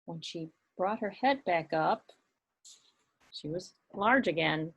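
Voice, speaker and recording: A woman's voice: on the low side, husky, rough recording. A woman, a children's librarian, telling a story with puppets for kids. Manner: animated, slow and expressive storytelling.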